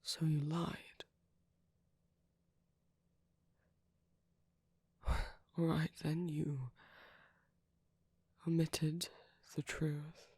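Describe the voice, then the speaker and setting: A shy young vampire boyfriend, acted by a man speaks in a soft, husky near-whisper with a creaky edge. He is gentle and halting, full of tender apology. The sound is dry and intimate, in the manner of an ASMR roleplay. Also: on the high side, completely flat delivery, no room sound, heavily acted. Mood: gentle, reassuring.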